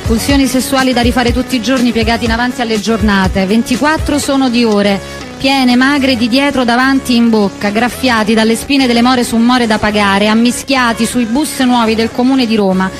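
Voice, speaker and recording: A woman's voice: on the high side, fairly even intonation, moderate pace. A woman delivering an intense, declamatory theatrical monologue as a stage performer. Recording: music under the voice. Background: music.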